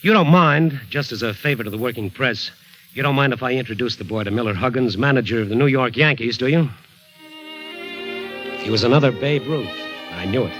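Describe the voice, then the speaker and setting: A folksy sports reporter, played by an actor tells the story in an old radio play with an easy, mid-pitched voice. Music plays clearly behind him. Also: male voice, brisk, fairly bright tone, lightly acted. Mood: chatty. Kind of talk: 1940s radio acting.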